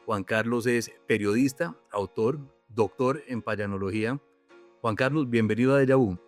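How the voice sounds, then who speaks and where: A man hosting a podcast, presenting in a steady, well-articulated way over faint music. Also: medium pitch, normal speed. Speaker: podcast host. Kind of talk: scripted podcast narration and introduction.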